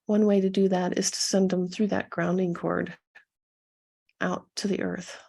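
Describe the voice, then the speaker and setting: A woman leading a guided meditation as a coach, speaking softly and soothingly to her listeners with a gentle, husky delivery. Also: on the low side, level tone. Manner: soothing, gentle, unhurried instruction with pauses.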